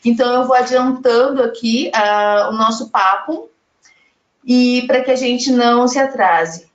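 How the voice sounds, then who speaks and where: A woman's voice: on the high side, unhurried, soft background music. Chatty Brazilian woman hosting a web panel. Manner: chatty, reads audience comments aloud. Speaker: panel moderator. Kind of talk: live online panel hosting. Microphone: video call. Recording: echoey, muffled web call audio.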